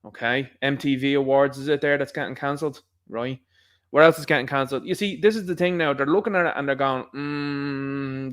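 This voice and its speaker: This Irish man talks straight to his listeners in a loose, running monologue, now and then slipping into little voices to act out what others say. His pitch sits in a middling range for a man, and he sounds like a host chatting on his own show. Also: clean tone. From Ireland. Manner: conversational and animated, mimicking others in asides. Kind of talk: casual monologue commentary.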